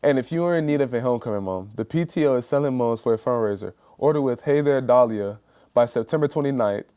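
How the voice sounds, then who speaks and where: A young man anchoring a school news show, reading announcements in a steady, read-aloud way. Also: medium pitch, fast, clean tone.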